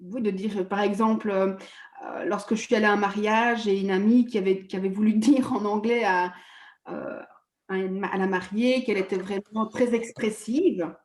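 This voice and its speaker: A woman's voice: mid-range voice, steady tone, darkish tone, echoey room. A woman speaking hesitantly in a therapy session, with frequent halts and self-conscious laughter. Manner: hesitant, halting, laughs at herself. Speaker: therapy client. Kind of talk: personal conversation.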